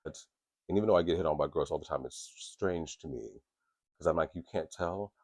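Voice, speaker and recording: A man with a deep voice, hosting a podcast in candid, profane, rambling casual talk with many restarts. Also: a little fast, a little mellow, no echo, clean studio sound. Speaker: podcast host.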